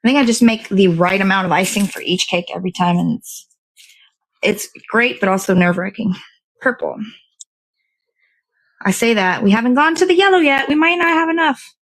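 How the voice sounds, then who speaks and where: A woman's voice: medium pitch, expressive, moderate pace, pauses often, low-quality recording. A woman hosting a casual hands-on cake decorating demo, talking to viewers and half to herself in short fragments as she works. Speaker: show host.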